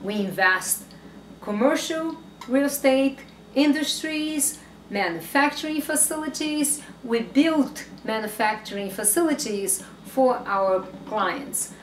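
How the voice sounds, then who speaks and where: Woman, middle-aged, highish voice, emphatic promotional talk, distant echoey mic. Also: expressive, slow. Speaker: business presenter pitching investments. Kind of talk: unscripted promotional talk to camera. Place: small bare room indoors. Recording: echoey room, off-mic, faint noise.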